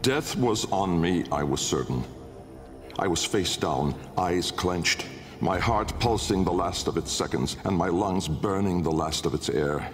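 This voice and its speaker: An actor playing a haunted, brooding older aristocratic inventor in a video game, delivering a grandiloquent, deliberate dramatic monologue in a gravelly voice. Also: male voice, low-pitched. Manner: grandiloquent, deliberate, pausing for weight. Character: brooding, haunted male aristocratic inventor recounting his memoir. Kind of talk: theatrical dramatic monologue narration. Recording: long cavernous reverb under music.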